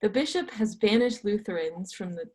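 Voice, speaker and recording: A woman reading the part of a cautious older male physician and astronomer, hesitant and gently apologetic, in an amateur readers theatre. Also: medium pitch, animated, moderate pace, natural voice. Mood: apologetic, reluctant.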